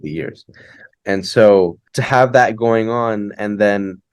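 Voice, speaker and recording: A man, a guest poet answering interview questions thoughtfully, often pausing and restarting as he thinks aloud. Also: lowish voice, clean tone, no room sound. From Hamilton, Ontario, Canada. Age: late 20s. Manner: reflective, thinks aloud with many fillers and restarts. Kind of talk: conversational interview.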